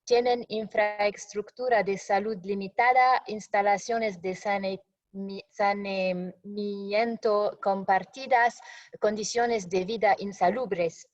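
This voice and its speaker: Woman, non-native speaker, slow, hesitant slide presenter, dull lo-fi call audio. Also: mid-range voice, steady tone. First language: not Spanish. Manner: halting, frequent fillers and self-corrections. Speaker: webinar presenter.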